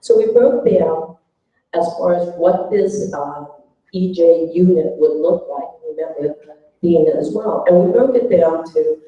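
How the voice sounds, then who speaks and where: A woman presenting her group's notes at a workshop, speaking haltingly as the group's spokesperson. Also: lowish voice. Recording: dull, very echoey room, distant mic.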